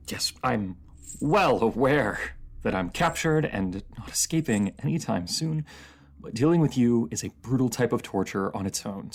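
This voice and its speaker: A man playing a proud, bitter captive ruler in a fantasy audio drama, speaking in formal, haughty, taunting phrases with a gravelly voice. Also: medium pitch. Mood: sardonic, weary. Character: proud captive enemy ruler, sardonic, bitter, secretly admiring.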